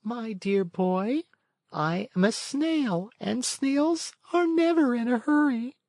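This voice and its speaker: Storybook lady snail, played by a man: placid, unhurried, very high-pitched, dry close sound. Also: dry recording, full character voice. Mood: calm, unhurried. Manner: calm, peaceful, unhurried. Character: slow, calm, unhurried female snail servant.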